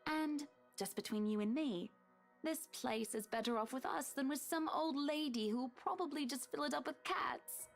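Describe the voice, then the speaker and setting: A pushy, wealthy woman with a high, sweetly condescending voice, as a man puts her on. She drawls her words slowly and patronizingly, like she owns the room. Faint music plays behind the visual novel reading. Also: gravelly. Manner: syrupy, slow, patronizing.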